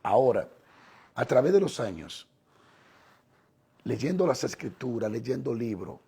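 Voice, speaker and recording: A man preaches with a Dominican Spanish accent in a heavily rasping, mellow voice. He teaches in short, deliberate phrases, pausing and repeating for emphasis, with a gravelly fry running through his delivery. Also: mid-range voice, sing-song. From Dominican Republic. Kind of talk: sermon, teaching on scripture.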